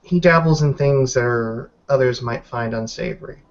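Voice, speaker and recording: A man's voice: mid-range voice, normal speed, lightly acted. A man roleplaying a guarded female werewolf newcomer in a tabletop game, choosing formal words with careful hesitations. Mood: guarded, evasive. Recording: dull lo-fi voice recording.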